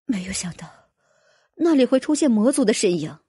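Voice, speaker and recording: Woman acting anxious noblewoman, lively swooping pitch. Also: normal speed, breathy edge, no echo, studio quality, full character voice. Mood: distressed. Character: anxious dragon noblewoman recounting a terrifying curse ordeal.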